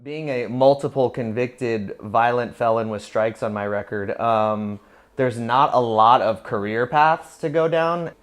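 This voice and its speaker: A man's voice: mid-range voice, fairly even intonation, a little slow, clear, resonant tone. An American man in his thirties speaking candidly and matter-of-factly in a casual documentary interview. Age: 30s. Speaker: barber. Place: inside a barbershop.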